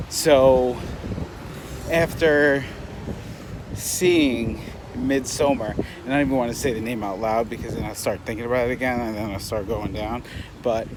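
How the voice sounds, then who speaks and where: A man giving a casual, unscripted movie review as a vlogger, in a halting, rambling way that trails off mid-thought. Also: medium pitch. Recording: loud outdoor urban traffic noise.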